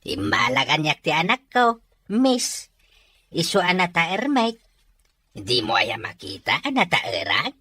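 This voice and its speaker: A woman's voice: on the low side, big pitch swings, measured pace, sharp tone, breathy edge, dry recording, exaggerated voice. A woman playing a joking, teasing elderly father in an audio drama. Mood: teasing, amused. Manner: playful, teasing. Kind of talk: Ilocano audio drama acting.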